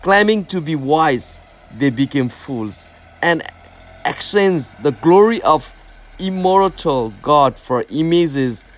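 A man from Nepal with a Nepali accent, a native Nepali speaker reading scripture very slowly and stumbling over hard words. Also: on the high side, animated.

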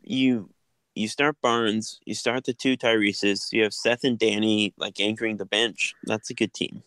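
A man's voice: fairly low pitch, level tone, unhurried, fairly bright tone, dry recording. A man hosting a casual sports podcast, talking in an easygoing way with lots of fillers and restarts. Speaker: podcast host.

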